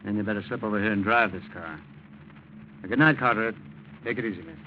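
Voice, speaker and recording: A man's voice: on the low side, quick pace, lightly acted. A dull, noisy vintage radio recording carries an actor playing a brusque night patrol cop. He is curt and nosy, firing off questions in old radio drama style. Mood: brisk, suspicious. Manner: curt, interrogating. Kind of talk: 1940s radio drama acting.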